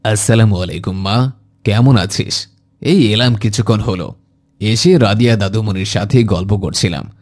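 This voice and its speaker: A man plays an elderly grandfather in an Islamic moral cartoon. His voice sits on the low side, and he speaks in a measured, instructive way. He is kindly and devout, gently admonishing his family. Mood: affectionate, proud.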